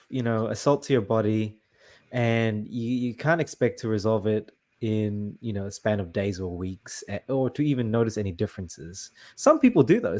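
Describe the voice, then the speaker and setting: A man's voice: lowish voice, darkish tone. A man hosting a casual interview, talking in a hesitant, agreeable way full of restarts. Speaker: host.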